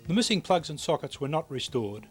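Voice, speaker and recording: A man's voice: a little high, sing-song, brisk. A man reading scripted instructional narration for a technical training film in a precise, matter-of-fact way. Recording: music behind voice, VHS tape transfer.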